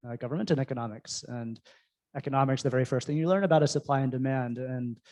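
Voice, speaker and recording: A man's voice: medium pitch, fast, a little mellow. A man who works as a venture investor, speaking informally and conversationally on a panel.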